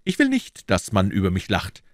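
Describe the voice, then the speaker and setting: A man voicing a proud, hot-headed young swordsman who shouts his defiance in a storybook reading. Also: low voice, normal speed, dry recording, studio quality, close to an everyday voice. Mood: defiant, furious.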